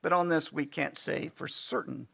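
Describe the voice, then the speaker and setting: A man teaching a Bible lesson in an easygoing lecture style, losing his voice and often clearing his throat. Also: on the high side, normal speed, a bit bright. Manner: conversational, thinking aloud with asides. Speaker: pastor teaching a Bible class.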